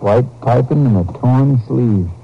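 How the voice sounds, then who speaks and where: An actor playing a folksy, puzzled country sheriff in a 1950s radio drama, with a hesitant, questioning manner. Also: male voice, mid-range voice, a little slow, creaky, breathy edge, highs cut off. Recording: old radio recording with faint hum.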